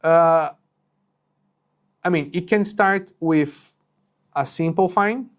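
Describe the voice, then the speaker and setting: A man's voice: a little high, slow pace. A man in his thirties whose first language is Portuguese, answering viewer questions in a live session with many fillers and restarts. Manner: conversational, many fillers and restarts, checks in with "okay?". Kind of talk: live Q&A explaining business rules. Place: home studio with shelves and green lighting.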